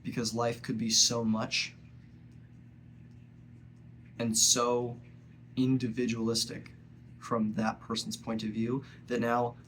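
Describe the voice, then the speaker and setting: A man's voice: mid-range voice, completely flat delivery, a bit bright, slight background noise. Earnest young man with a stuffy nose. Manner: earnest, halting, with frequent pauses. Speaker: vlogger. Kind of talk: personal reflective monologue.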